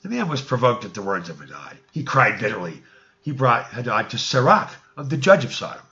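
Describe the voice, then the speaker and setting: A man's voice: mid-range voice, sing-song, fairly quick, sharp tone, roomy sound, highs cut off. A man narrating an old scripture story in a solemn, archaic storytelling manner, as an amateur audiobook reading.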